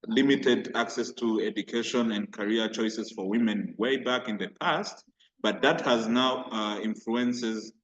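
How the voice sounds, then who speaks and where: Lower-voiced man presenting, halting. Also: dull audio. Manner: halting with repeated words. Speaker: student presenter. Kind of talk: class presentation.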